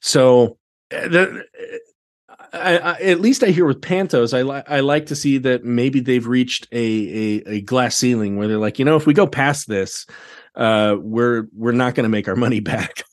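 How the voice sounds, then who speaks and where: A man with an American accent chatting casually as a podcast guest, animated, colloquial and emphatic. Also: medium pitch, moderate pace. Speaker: podcast guest, theatre director. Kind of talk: casual chat.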